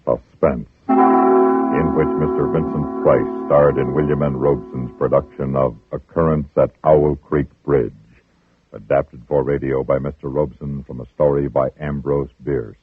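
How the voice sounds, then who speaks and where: A man's voice: extremely low pitch, steady tone, measured pace, breathy edge, no echo. A man reading scripted credits as a formal, solemn announcer in vintage radio style. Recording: muffled, tinny old radio with faint music.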